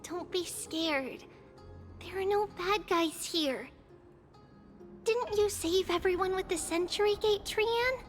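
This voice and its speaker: Very high, caring little girl. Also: slow. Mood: reassuring. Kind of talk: video game voice acting. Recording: music behind the voice.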